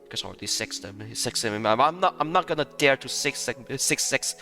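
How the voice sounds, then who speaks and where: A man giving casual live gaming commentary in a halting, repetitive way, often breaking into chuckles. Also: medium pitch, animated, gravelly. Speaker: game streamer. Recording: loud music under the voice.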